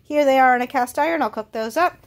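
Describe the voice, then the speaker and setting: A woman vlogging casually as she cooks at home, chatty and relaxed with frequent ums, her voice a little congested. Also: high-pitched, quick pace. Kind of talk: casual kitchen vlog narration. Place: home kitchen.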